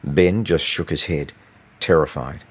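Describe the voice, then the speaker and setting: A man reading his own novel aloud as expressive, scripted audiobook narration. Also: very deep voice, unhurried, husky.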